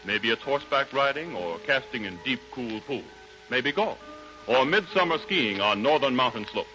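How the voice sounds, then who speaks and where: American man, 1930s radio announcer, polished sales pitch, animated intonation, muffled old broadcast, faint music. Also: moderate pace. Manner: emphatic, polished delivery. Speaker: commercial announcer.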